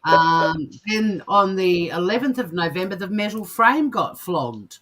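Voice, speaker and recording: A woman's voice: on the low side, animated, measured pace, noisy background. A woman co-hosting a comedy news show, chatting casually and reading out odd stories in a jokey, amused way.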